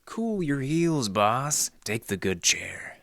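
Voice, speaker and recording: An actor playing a wry, deadpan library detective who narrates his case in hardboiled old radio style. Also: medium pitch, slow pace, gravelly, no echo, exaggerated voice. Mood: cool, clipped. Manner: deadpan, sardonic, slangy.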